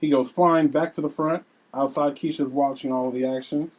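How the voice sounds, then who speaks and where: A man narrates a script at a table read, announcing scenes and reading out the action. His voice sits a little higher than most men's, in a scripted narrator's delivery. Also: fairly even intonation, normal speed, a little mellow. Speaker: narrator reading stage directions.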